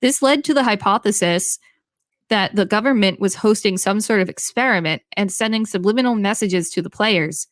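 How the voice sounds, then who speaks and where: A woman co-hosting a podcast, reading an encyclopedia article aloud in a clear, measured way with brief reactions. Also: medium pitch, no echo, studio quality.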